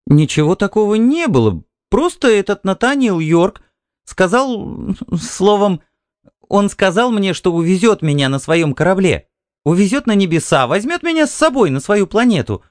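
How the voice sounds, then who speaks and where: A man's voice: high voice, big pitch swings, moderate pace, no echo, pitched above the performer's own voice. A man voicing a timid, evasive wife, hesitant and placating, in a dramatised audiobook. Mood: nervous, pleading.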